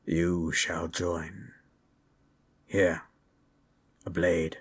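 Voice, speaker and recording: A grave old sage from a fantasy audio drama, played by a man. The voice is deep, husky and slow, with formal, deliberate phrasing and a solemn, measured temper. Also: level tone, full character voice.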